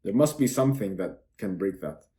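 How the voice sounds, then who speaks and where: A young man from Dubai, a native Arabic speaker, pitches his online course straight to camera. He sounds brash and self-assured and keeps repeating words to hammer his points home. His voice has a mellow, darker tone. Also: animated, a little fast. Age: young adult. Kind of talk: boastful sales pitch to camera.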